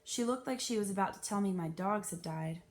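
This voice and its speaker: A woman reads a children's story aloud. Her voice sits at an average pitch for a woman, and her delivery is animated, with clear emphasis on the storytelling. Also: fairly quick. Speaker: read-aloud narrator. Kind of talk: expressive children's audiobook-style narration.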